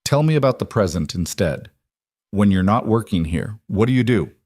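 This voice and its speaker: A man's voice: on the low side, lively intonation, moderate pace, darkish tone, no echo, studio quality. A man voicing an intense mafia boss in an audio romance, direct and earnest, a little socially awkward.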